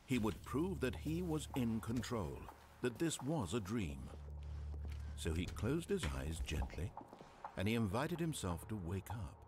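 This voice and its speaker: A man narrating a video game in a measured, wry, theatrical storytelling manner over game music. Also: fairly low pitch, big pitch swings, background noise.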